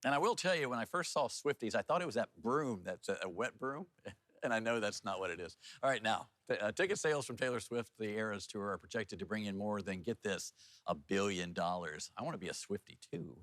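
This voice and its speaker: A man's voice: lowish voice, animated, brisk, fairly bright tone, no room sound. A man with an American accent anchoring TV news, with an informal, folksy way of talking. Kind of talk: scripted news reading mixed with casual banter.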